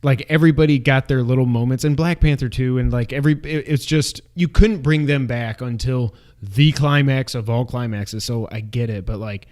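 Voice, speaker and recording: Male review co-host, mid-pitched, casual, exclamatory fan delivery. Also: moderate pace, hardly any pauses, darkish tone. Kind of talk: casual chat.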